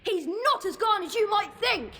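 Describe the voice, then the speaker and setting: A woman's voice: very high-pitched, lively intonation, normal speed, fairly bright tone, slight background noise. A woman with a British accent voicing an earnest, brave twelve-year-old boy wizard in a video game, speaking emphatically. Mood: defiant. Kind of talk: video game cutscene acting.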